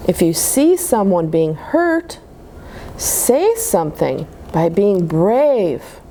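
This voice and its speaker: A woman reading picture books aloud to young children in an expressive, explaining manner. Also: medium pitch, slow pace, slight background noise. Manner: animated, simple explanations to children. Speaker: children's storybook reader at a church.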